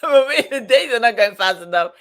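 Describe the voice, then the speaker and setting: Woman, 50s, bright and clear tone, casual and reflective, laughs easily; live stream co-host. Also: on the high side, expressive, a little fast. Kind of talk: casual chat.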